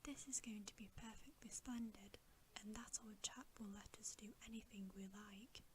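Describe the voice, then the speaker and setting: A woman reading a bedtime story in ASMR style, in a hushed, gentle, unhurried whisper. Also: medium pitch, steady tone, a little hiss or hum. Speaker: ASMR reader.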